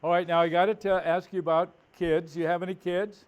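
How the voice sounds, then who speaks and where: A man's voice: high-pitched, little pitch movement, fairly quick. Upbeat man hosting an interview. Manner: friendly, laughs easily, short prompts. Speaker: host. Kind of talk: video call interview.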